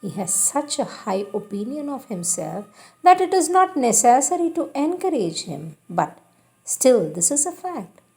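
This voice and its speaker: Higher-pitched woman reading devotional text, animated intonation. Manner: deliberate, emphatic, repeating phrases for emphasis. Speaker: reader of spiritual teachings. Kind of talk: devotional read-aloud with announced quotations.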